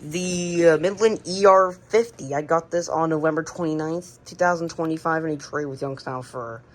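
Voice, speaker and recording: An American woman casually showing a hobby collection, speaking in a halting, unscripted, plain way on an amateur home recording. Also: sits low, a little hiss or hum. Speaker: radio collector presenting the collection. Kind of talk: casual hobby show-and-tell narration.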